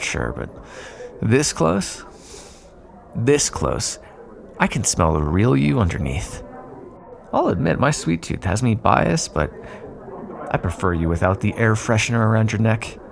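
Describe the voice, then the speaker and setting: Man acting a sly, gravelly male vampire. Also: on the low side, slight background noise, heavily acted. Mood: teasing menace. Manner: sarcastic, teasing, drawling asides. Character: sly teasing male vampire bargaining with his hunter rival. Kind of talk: ASMR roleplay, intimate scripted monologue.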